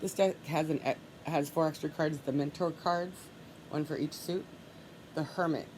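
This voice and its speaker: A woman with a low voice and a congested, stuffy nose gives a solo tarot reading. She talks calmly and murmurs the same soft requests over and over as she draws cards. A steady hiss of noise sits under the recording. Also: moderate pace, rough recording. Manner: calm, softly repeats requests while drawing cards. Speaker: tarot reader.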